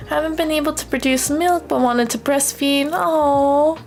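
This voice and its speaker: A young woman from the United States chatting casually on a livestream in an expressive, affectionate, gushing way, as a streamer talking to viewers. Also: sits high, moderate pace, soft background music. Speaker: live streamer.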